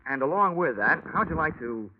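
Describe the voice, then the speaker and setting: An American actor playing a wisecracking, hard-boiled insurance investigator in an old radio drama, wry, slangy and deadpan. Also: male voice, fairly high pitch, moderate pace, noisy background, close to an everyday voice. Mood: brisk, cocky. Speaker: lead actor and narrator. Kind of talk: old-time radio detective acting. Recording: muffled old radio recording, music behind.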